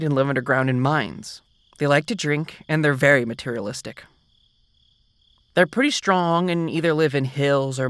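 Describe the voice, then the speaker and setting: A man's voice: on the high side, fairly bright tone, no echo. A man doing an ASMR roleplay as a boyfriend, patiently explaining things to the listener. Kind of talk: soft-spoken ASMR roleplay.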